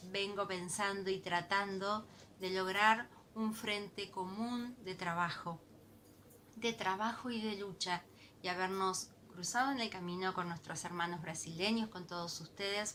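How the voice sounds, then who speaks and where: A woman's voice: medium pitch, a bit bright, a little hiss or hum. A woman from Argentina with an Argentine Spanish accent, giving an earnest, impassioned recorded video message as an activist. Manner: earnest, impassioned, repeats for emphasis.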